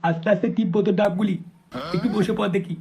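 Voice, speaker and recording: A man's voice: high-pitched, fairly even intonation, fast, vocal fry, very noisy. A man voicing a lecherous, greedy, crude male doctor in a comedy cartoon.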